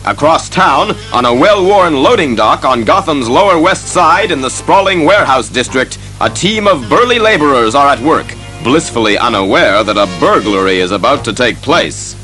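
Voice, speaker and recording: A man's voice: mid-range voice, lively intonation, moderate pace, hardly any pauses, bright, forceful tone, faint music behind, rough recording. A man delivering dramatic scripted narration for a superhero audio drama.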